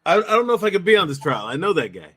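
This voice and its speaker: A man's voice: high-pitched, big pitch swings, very fast, a bit bright. A man throwing teasing remarks into casual banter, on a slightly noisy recording.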